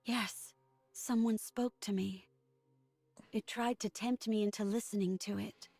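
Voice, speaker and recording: A woman's voice: medium pitch, fairly even intonation, measured pace, vocal fry, a little mellow, husky, dry recording. A woman voicing a subdued, earnest, remorseful female android soldier in a dramatic video game scene. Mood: troubled, earnest. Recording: music behind the voice.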